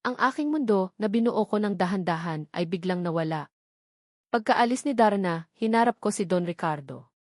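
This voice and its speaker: A woman's voice: medium pitch, animated, moderate pace, darkish tone, no echo, clean studio sound. A woman reading a scripted dramatic love story as a narrator.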